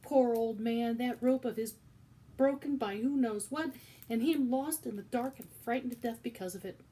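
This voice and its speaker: A woman voicing a fierce middle-aged female cook from a storybook, loud and shrill with wailing outbursts. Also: normal speed, gravelly, distant mic. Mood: distraught.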